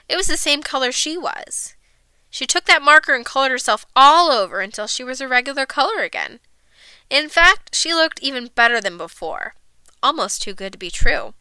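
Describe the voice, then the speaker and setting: A woman reading a children's storybook aloud as a storyteller, expressive and emphatic. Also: sits high, smooth, no room sound. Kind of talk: animated storybook read-aloud.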